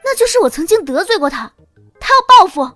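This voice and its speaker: Sharp-witted young royal wife, breezy and high-pitched, period audio drama female performer. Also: very animated, brisk, faint music behind, exaggerated voice. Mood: disbelieving, indignant. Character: spirited, sharp-witted young princess consort, breezy and defiant. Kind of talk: audio drama acting in Mandarin.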